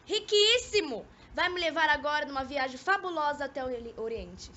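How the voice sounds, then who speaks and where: A woman's voice: high-pitched, lively intonation, fairly quick, bright, forceful tone. A young woman acting a haughty, bored, reluctant former lover in an amateur radio drama, with a Brazilian accent and petulant delivery. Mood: mocking. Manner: petulant, disdainful. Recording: dull, noisy amateur recording.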